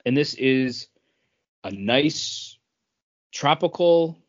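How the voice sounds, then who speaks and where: A man's voice: mid-range voice, lively intonation, very slow, highs cut off. A man chatting casually as a podcast co-host. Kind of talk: casual chat.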